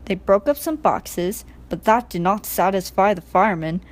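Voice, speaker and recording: A woman narrating a scripted children's storybook in an expressive reading style. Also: mid-range voice, normal speed.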